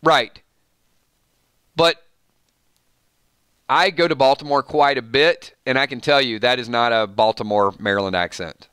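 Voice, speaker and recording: Bright-voiced Georgia man chatting. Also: medium pitch, a little slow, long pauses, clean tone, no room sound, studio quality. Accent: Georgia (US South). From Georgia, USA. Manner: chatty, rambling, laughs often, reads viewer comments. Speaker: show host. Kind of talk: casual live-stream chat.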